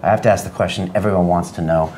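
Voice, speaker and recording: Male host, fast questions, deep voice, faint background hiss. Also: fairly even intonation, a little mellow. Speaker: interviewer host. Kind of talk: interview conversation.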